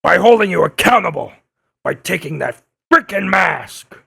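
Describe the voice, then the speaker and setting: A man playing a bitter, blustering old newspaperman turned blogger in an audio drama, with a gravelly, raspy voice and an accusatory, declaiming manner. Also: high voice, very animated, moderate pace, fairly bright tone, exaggerated voice. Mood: defensive, angry. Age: older. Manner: accusatory, indignant, theatrical declaiming.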